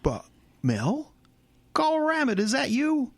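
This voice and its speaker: A man's voice: extremely high pitch, wildly swooping pitch, slow pace, no room sound, full character voice. An actor with an American accent playing a goofy, talkative small-town geek in his thirties, rambling and stammering eagerly in an audio drama. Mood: excited, surprised. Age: 30s.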